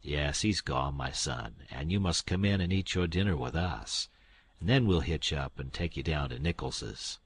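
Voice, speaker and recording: A man voicing a kindly old gentleman farmer from the South, courteous and unhurried. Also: extremely low pitch, lively intonation, slightly rough, no room sound, voice pushed down, heavily acted. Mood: hospitable.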